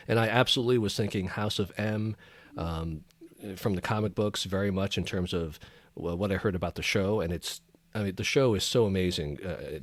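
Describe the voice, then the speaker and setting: A man's voice: lowish voice, dry recording. A man co-hosting a podcast in casual fan chat, effusive and emphatic, often repeating his phrases. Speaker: podcast co-host.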